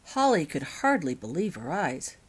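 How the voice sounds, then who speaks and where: A woman narrating fiction as an author reading aloud, in an expressive storybook style. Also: low voice, normal speed, husky.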